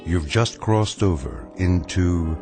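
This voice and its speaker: An actor as a grave, wry, all-knowing narrator, giving measured, portentous dramatic narration of eerie radio tales. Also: male voice, sits low, expressive, music in the background, full character voice.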